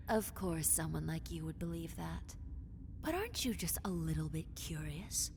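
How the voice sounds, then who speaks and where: Casting: woman to play possessive snake-woman monster for roleplay audio. Low, unhurried, seductive and condescending, dropping into whispers. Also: animated, full character voice. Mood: condescending, amused. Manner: condescending, seductive, drawn-out taunting, slipping into breathy whispers. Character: possessive, mocking female lamia, half-snake monster, teasing predator. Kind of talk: roleplay audio drama, addressed to the listener. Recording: long cave-like reverb, faint noise.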